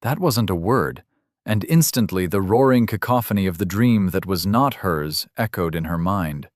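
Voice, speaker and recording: A man reading a fantasy audiobook in a measured, unhurried manner. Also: deep voice, lively intonation, no room sound, studio quality. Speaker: audiobook narrator. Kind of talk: scripted fantasy narration.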